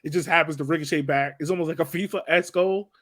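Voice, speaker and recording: Male podcast host, casual post-game sports chat, higher register, very dry recording. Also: fast talker, fairly bright tone, no echo. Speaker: podcast host moderating a soccer discussion.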